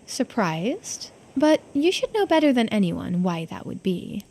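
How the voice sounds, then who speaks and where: A woman voicing a teasing, shrewd investigator in a visual novel, with a knowing, theatrical, drawn-out delivery. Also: mid-range voice, big pitch swings, mellow. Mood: smug, taunting.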